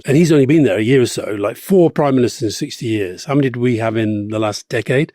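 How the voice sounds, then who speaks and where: A man in his sixties, co-hosting a podcast, telling stories in an animated way with repeated phrases and laughs. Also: mid-range voice, no room sound, studio quality. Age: 60s. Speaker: podcast co-host. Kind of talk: casual political chat.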